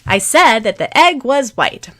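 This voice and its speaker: A woman's voice: fairly high pitch, very animated, a little slow, a bit bright, pitched above the performer's own voice. A woman voicing a cheeky, oblivious teenage schoolboy who chatters blithely in an audiobook.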